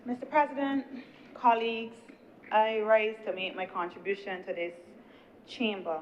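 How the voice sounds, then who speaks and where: A woman senator with a Barbadian accent, giving an earnest, measured speech in the chamber. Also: mid-range voice, steady tone, a little hiss or hum. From Guyana, raised in Barbados. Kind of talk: parliamentary speech. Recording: dull lo-fi chamber broadcast.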